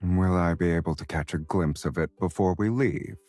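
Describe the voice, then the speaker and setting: An actor playing a confident, teasing and tender male love interest in a romance game, with a husky voice. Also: low-pitched, normal speed, a little mellow, faint music behind, lightly acted. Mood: gently amused. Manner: smooth-talking, playfully teasing.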